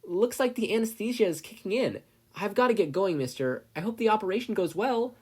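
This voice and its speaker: Man as a young boy, polite, earnest, squeamish, raised pitch, storybook reading. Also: animated, fairly quick, slightly rough. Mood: hurried, cheerful. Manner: courteous, earnest.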